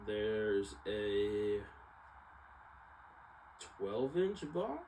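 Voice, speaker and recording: A man's voice: fairly low pitch, sing-song, very slow, a little mellow, a little hiss or hum. A young American man telling a story casually, with a rambling, slangy manner, often correcting himself. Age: young adult. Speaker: vlogger, exercise science student.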